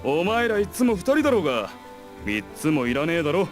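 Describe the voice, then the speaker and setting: An easygoing big-brother type from a visual novel, teasing the younger ones. The delivery is quick and very animated. Music plays clearly behind the voice. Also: male voice, on the high side. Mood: teasing, curious. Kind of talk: Japanese visual novel voice acting.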